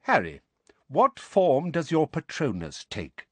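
A man voicing a weary, kindly young male wizard with a calm, gentle manner in an audiobook. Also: fairly high pitch, slow, breathy edge, dry recording, voice pushed up, heavily acted. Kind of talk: audiobook character voice.

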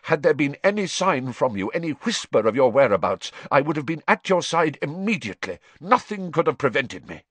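A smooth, fawning aristocrat, as a man voices him in a storybook, talking quickly and eagerly to excuse himself. His lines come with a lively lilt and a dry, close sound. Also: fairly high pitch, no room sound, voice pushed up. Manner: swift, eager to please.

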